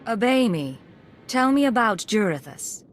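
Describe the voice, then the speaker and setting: A woman's voice: on the high side, big pitch swings, unhurried, a little hiss or hum. A woman playing a businesslike intelligence agent in a video game, composed, clipped and controlled. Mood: cold, commanding. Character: cool, businesslike female imperial intelligence agent.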